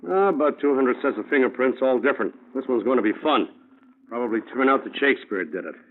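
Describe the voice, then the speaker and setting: A man's voice: medium pitch, expressive, fast, close to an everyday voice. An actor playing a sarcastic, exasperated police lab man who wisecracks in an old radio crime drama. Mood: wisecracking. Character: grumbling, sarcastic male police lab technician. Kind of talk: 1950s radio drama acting. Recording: noisy, narrow-band vintage radio recording.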